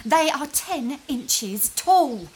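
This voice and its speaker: A woman's voice: high-pitched, animated, very slow, heavily acted. A woman playing a haughty, scornful middle-aged mother of a rich family in an audio drama, cutting and imperious, in an echoey hall with music behind her. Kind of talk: science fiction audio drama acting.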